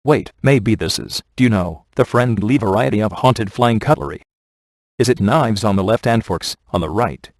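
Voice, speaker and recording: A man's voice: fairly low pitch, moderate pace, dry recording. A man playing a wisecracking, laid-back ghost hunter in a video game, with a dry, sardonic and glib delivery. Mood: casual, cocky. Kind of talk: scripted video game character dialogue.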